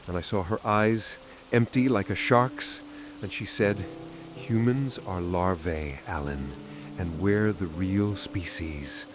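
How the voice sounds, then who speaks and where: An actor playing a terrified, haunted scientist in a horror radio monologue, intense and halting. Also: male voice, fairly low pitch.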